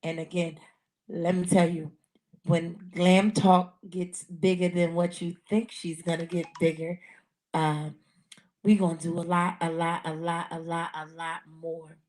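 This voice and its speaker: Woman, raspy voice, podcast host, slow talker, repeats herself, handheld mic. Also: on the low side, little pitch movement, a little mellow, poor audio. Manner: repetitive, earnest, many restarts. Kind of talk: casual live podcast talk.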